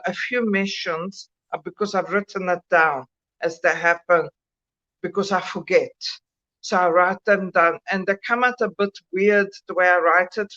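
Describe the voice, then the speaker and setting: A woman with a mixed South African and Italian accent, a guest on a video call, telling a story slowly with many hesitations. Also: mid-range voice, a bit bright. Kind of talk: casual chat, recounting a dream experience. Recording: muffled bad connection.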